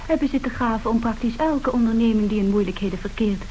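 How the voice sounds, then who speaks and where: A woman's voice: fairly high pitch, fast talker, dark tone, close to an everyday voice, rough recording. An actress playing a bold, businesslike young woman from a wealthy family, pert and self-assured, in a radio play. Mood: pert, insistent. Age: young adult. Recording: hissy old radio drama recording.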